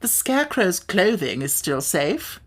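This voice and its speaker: A woman's voice: fairly low pitch, big pitch swings, a little slow, breathy edge, pitched above the performer's own voice, exaggerated voice. A woman voicing a pompous, educated male insect in a storybook reading, speaking in a grand, impressive manner. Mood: lofty.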